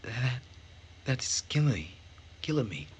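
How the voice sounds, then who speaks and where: A man's voice: medium pitch, very animated, slow pace. A man voicing an anxious, timid young daughter in a visual novel, speaking hesitantly and defensively. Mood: surprised. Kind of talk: visual novel read-aloud. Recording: background noise.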